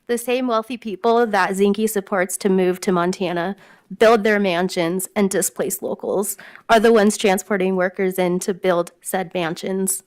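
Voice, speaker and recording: A woman's voice: mid-range voice, steady tone, measured pace, darkish tone. A woman with a mousy voice, giving earnest public comment at a city council meeting from prepared remarks, with frequent ums.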